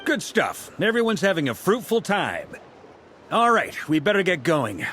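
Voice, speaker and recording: An actor playing a relaxed, friendly veteran knight leader with a wry manner in a game cutscene. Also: male voice, high voice, normal speed. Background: game soundtrack music.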